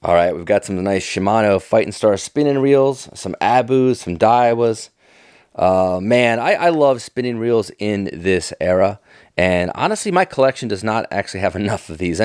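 A man's voice: fairly low pitch, expressive, moderate pace, darkish tone, clean tone, no echo, clean studio sound. A middle-aged American man giving casual, off-the-cuff commentary in a folksy, enthusiastic, nostalgic manner. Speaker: fishing tackle vlogger.

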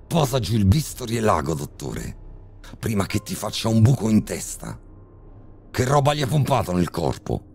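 A man playing a furious, brutal middle-aged criminal father who shouts vulgar threats in a horror story reading. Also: lowish voice, huge pitch swings, moderate pace, creaky, soft background music, voice pushed up, exaggerated voice. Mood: enraged.

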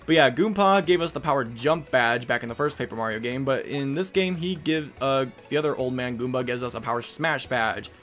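A man's voice: mid-range voice, a little fast, few pauses, a bit bright, faint music behind. A man hosting a let's play, giving casual game commentary with rambling, joking asides.

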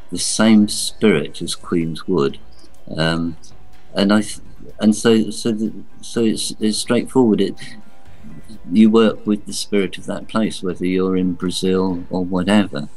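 A man's voice: deep voice, measured pace, darkish tone, faint music behind, poor audio. A man from England, a guest author, answering in a hesitant, thoughtful way with repeated words. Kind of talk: interview answers.

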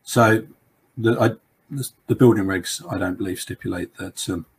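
A man speaks as the expert guest on a video call, his low voice a little husky. He answers questions in a loose, rambling way, leaning on "you know" and restarts. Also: moderate pace.

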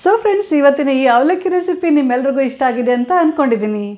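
Lively woman teaching a recipe. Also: high-pitched, quick pace, no echo. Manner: friendly, clear and instructive. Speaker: cooking channel host. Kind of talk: step-by-step recipe instructions. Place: home kitchen, indoors. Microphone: lapel mic.

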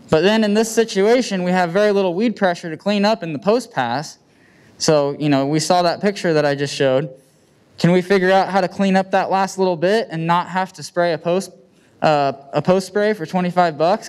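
A man with a Midwestern American accent speaks plainly and informally, like a farmer presenting to peers. His voice sits a little high for a man and is very clean and smooth. He talks into a handheld microphone in colloquial, practical language. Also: a little mellow. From United States. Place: indoor meeting room with seated audience.

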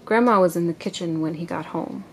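A woman reading a children's picture book aloud to a child in an expressive storytelling way. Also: lowish voice, a little fast. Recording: noisy amateur home recording.

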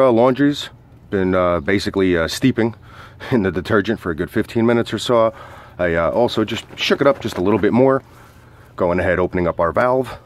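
A man reviewing gear in an informal, hesitant, step-by-step instructional way, as a casual demonstration. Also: low voice. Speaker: product reviewer and outdoor coach.

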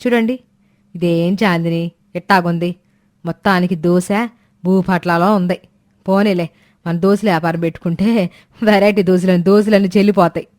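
A woman's voice: fairly low pitch, steady tone, normal speed, pauses often, a little mellow, lightly acted. A woman voicing a bossy, joking older mother-in-law in a moral story. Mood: teasing.

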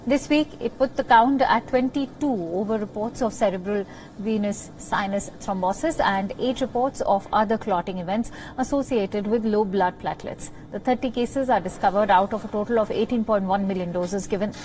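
A woman's voice: medium pitch, normal speed, a little mellow, a little hiss or hum, poor audio. A woman presenter reading scripted news narration in a formal register.

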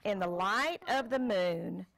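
An older woman reading a picture book aloud to a child, deliberate and pausing for the child to join in. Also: mid-range voice, vocal fry, a bit bright, a little hiss or hum. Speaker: storyteller grandmother reading to a child. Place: screened porch.

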